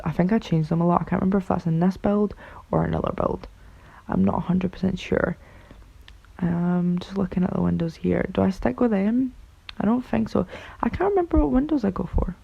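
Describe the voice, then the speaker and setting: Raspy, ill-sounding woman rambling. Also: medium pitch. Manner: rambling and unsure, many fillers like "like" and "um". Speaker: game building commentator. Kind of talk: casual voice-over commentary on a game build. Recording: dull lo-fi recording.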